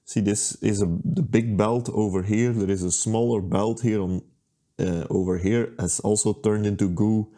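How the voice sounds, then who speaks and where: A man talking through a hands-on repair at his workbench, unhurried and thinking aloud with plenty of ums and uhs. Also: on the low side, fairly even intonation, dark tone. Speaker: repair video host. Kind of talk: casual how-to narration.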